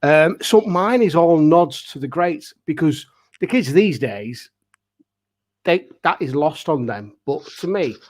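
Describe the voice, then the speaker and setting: A man's voice: fairly high pitch, lively intonation, measured pace, darkish tone. Man chatting emphatically in short bursts. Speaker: pantomime dame performer, panel guest. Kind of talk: casual panel chat.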